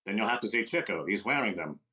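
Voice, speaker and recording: An actor playing a wisecracking, fast-talking middle-aged comedian in an old-time radio comedy recreation. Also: male voice, fairly low pitch, expressive, bright, forceful tone. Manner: quick wisecracks, sly teasing delivery.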